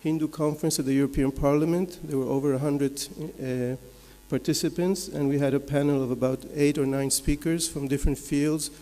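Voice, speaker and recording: A man from Belgium, giving a measured, explanatory slide presentation as a conference speaker, point by point. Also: fairly high pitch, fairly even intonation, dark tone. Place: large conference hall. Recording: echoey hall with long reverb.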